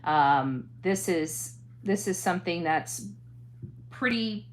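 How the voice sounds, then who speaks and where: A woman speaking earnestly to an audience as a commentator, halting and emphatic, repeating phrases to press her point. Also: fairly low pitch, expressive, a little hiss or hum. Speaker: interviewee, online true crime commentator.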